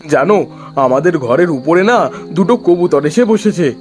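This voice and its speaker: A man's voice: on the high side, expressive, moderate pace, soft background music, natural voice. A man playing a luckless, lamenting village vegetable seller and husband in a cartoon. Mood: hopeful. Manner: lamenting, pleading.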